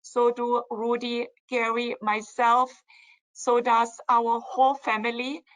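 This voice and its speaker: A woman co-founder hosting a virtual company event, warmly praising a colleague and handing the floor over to him. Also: on the high side, level tone, takes it slowly, low-quality recording. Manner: warm, praising, guides the conversation. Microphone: video call.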